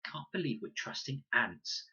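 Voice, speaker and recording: A man plays a prickly schoolgirl in a storybook, defiant and scornful. The voice is lifted and husky, with sarcastic, snappy phrasing, on a slightly dull recording. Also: medium pitch, animated, unhurried, bright, forceful tone, voice pushed up, exaggerated voice.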